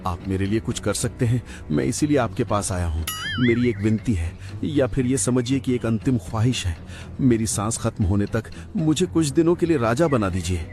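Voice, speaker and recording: A man's voice: mid-range voice, lively intonation, moderate pace, close to an everyday voice. Scheming, theatrical wolf for a kids' animal cartoon played by a man, wheedling and hungry for power, over background music. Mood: feeble pleading. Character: scheming sickly wolf who craves being king. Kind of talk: Hindi animated moral story acting.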